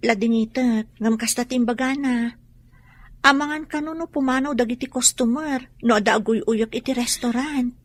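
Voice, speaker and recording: Woman acting as bantering young woman, high voice, Filipino accent, outspoken, radio drama delivery. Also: moderate pace, dry recording, natural voice. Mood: advising.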